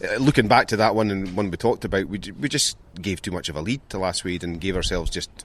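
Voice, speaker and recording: Rugby coach bloke chatting before a match, deepish voice, bit of background noise. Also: male voice, quick pace, a bit bright. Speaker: rugby club coach being interviewed. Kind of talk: pre-match sports interview. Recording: faint outdoor background noise.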